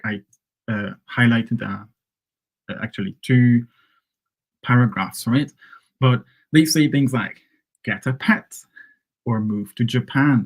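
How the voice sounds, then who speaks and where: A man whose first language is Hungarian, teaching English phrases in a relaxed live lesson and checking in with "right?" and "okay?". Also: medium pitch, husky, poor audio. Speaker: English coach.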